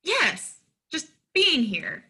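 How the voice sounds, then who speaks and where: A woman's voice: sits high, very animated, extremely slow, pushed and bright, heavily acted. An actress playing an eager, naive small-town woman in a play reading, wide-eyed and hesitant.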